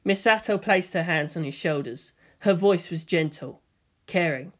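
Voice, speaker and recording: A woman's voice: fairly low pitch, unhurried. A woman reading scripted fiction as an audiobook narrator.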